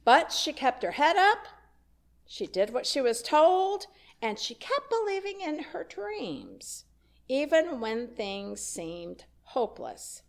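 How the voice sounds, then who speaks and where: A woman minister delivers a sermon in a church, her pitch sitting a little high and swinging widely as she speaks. She talks slowly and tells her story conversationally, dropping in wry asides. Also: huge pitch swings, creaky. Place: church sanctuary.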